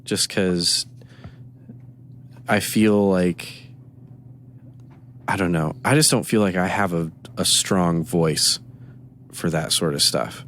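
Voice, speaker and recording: A man's voice: low voice, slow, pauses often, a little hiss or hum. A man co-hosting a podcast, chatting casually and telling rambling stories with quoted back-and-forth. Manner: rambling, animated storytelling with quoted exchanges. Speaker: podcast co-host. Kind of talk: casual chat.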